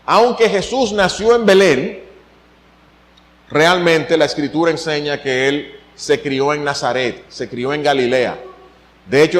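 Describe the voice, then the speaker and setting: Animated Dominican preacher, fairly high voice. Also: male voice. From Dominican Republic. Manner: animated preaching, voice swinging up for emphasis. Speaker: pastor. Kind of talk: sermon.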